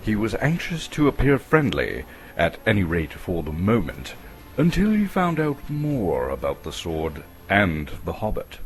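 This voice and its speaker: A man from Canada giving a dramatic, scripted reading of a storybook as its narrator. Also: low voice.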